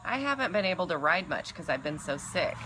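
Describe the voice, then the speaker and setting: A woman's voice: fairly low pitch, big pitch swings, fairly quick, sharp tone, a little hiss or hum, poor audio. A woman chatting casually with livestream viewers from her backyard, teasing her partner. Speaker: livestream co-host.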